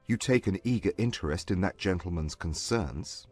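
An actor playing a proud, wounded gentleman suitor in a dramatised audiobook, speaking with formal, emphatic diction. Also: deep voice, animated, normal speed, breathy edge, soft background music. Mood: cold contempt.

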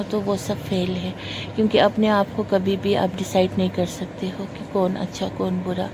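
Lady lecturing on her phone at home, scolding tone, noisy background w traffic. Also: mid-range voice. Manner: earnest, preachy, scolding. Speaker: vlogger. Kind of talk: moralizing monologue to camera. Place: carpeted room at home. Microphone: phone camera pointed at the floor.

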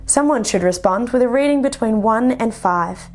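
A woman's voice: on the high side, lively intonation, moderate pace, a little mellow. A young woman with an Australian accent, a flight instructor, delivers scripted instructional narration in a methodical, explanatory way. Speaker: flight instructor presenting a lesson.

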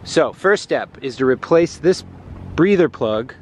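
A man's voice: on the high side, lively intonation, a little slow. A man casually explaining and coaching as a vlog host, talking to a handheld camera. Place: outdoors on a sailboat deck. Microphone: handheld camera at arm's length. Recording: clear outdoor boat background noise.

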